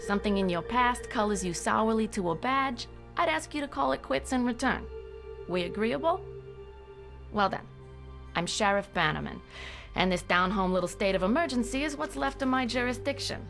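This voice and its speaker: Plainspoken American small-town sheriff, music behind. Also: female voice, on the high side, expressive, moderate pace, vocal fry, fairly bright tone. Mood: weary, frank. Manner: plainspoken, folksy, dry. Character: weary, no-nonsense small-town American sheriff, a woman, wry. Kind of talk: video game character monologue.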